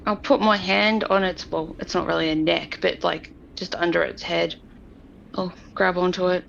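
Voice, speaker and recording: Low, creaky-voiced woman gamer. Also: fairly even intonation, normal speed, fairly bright tone, dull audio, a little hiss or hum. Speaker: tabletop game player. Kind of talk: casual improvised roleplay chat.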